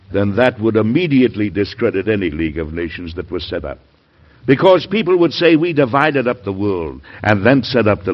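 Weary, idealistic American statesman for old-time radio: earnest, highly animated, dull vintage sound. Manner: earnest, emphatic oratory. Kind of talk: classic American radio drama acting. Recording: dull, band-limited old radio recording.

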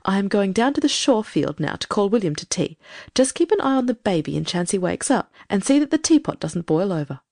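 A self-righteous storybook farm wife, voiced by a female narrator, scolding in a creaky voice that swings widely in pitch. She is brisk, bossy and condescending, with little patience. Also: sing-song, darkish tone, no room sound, clean studio sound. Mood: impatient, bossy.

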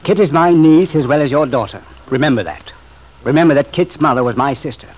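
A man's voice: fairly high pitch, lightly acted, rough recording. Man playing an earnest, reproachful uncle. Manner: earnest, measured, moralizing. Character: earnest, principled bachelor uncle, reproachful but restrained. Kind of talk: old-time radio drama acting.

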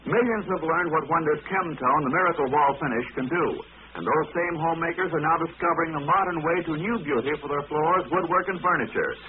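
Higher-voiced man announcing on vintage radio. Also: brisk. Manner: polished, declamatory selling. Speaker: sponsor announcer. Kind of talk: 1940s radio advertisement, scripted. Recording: tinny old radio recording, faint noise.

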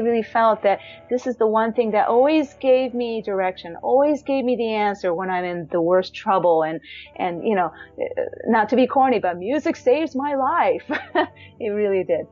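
A woman in her thirties with a high voice, reflectively telling her life story in a chatty way, full of fillers and restarts. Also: a little mellow, dull audio. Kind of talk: personal storytelling.

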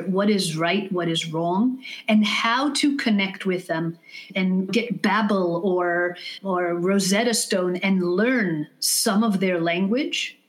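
A woman guest on an interview podcast, a leadership consultant giving measured, explanatory answers with examples. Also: fairly low pitch, poor audio. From United States.

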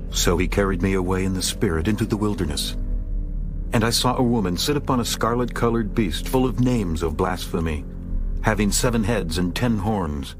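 A man's voice: low-pitched, moderate pace, music in the background. A man narrating a dramatized scripture audiobook in a solemn, formal manner.

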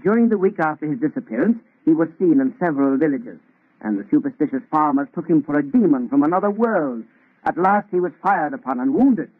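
Kindly professor on tinny radio. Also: male voice, fairly high pitch, lively intonation, a little fast. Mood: reassuring. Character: kindly, reassuring professor, a paternal friend. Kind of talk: 1930s radio drama acting. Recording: thin, tinny old radio recording.

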